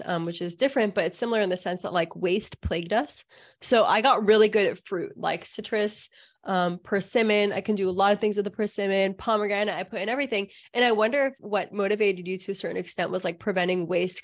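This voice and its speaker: A woman podcast host with a mid-pitched voice and a very quick pace. She speaks in a conversational interviewing style.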